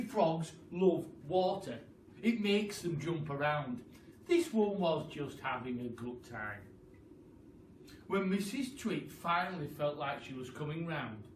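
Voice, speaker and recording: A man reading a children's story aloud in an expressive, deliberate storytelling style, with chatty asides. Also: fairly high pitch. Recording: roomy, distant mic, faint noise.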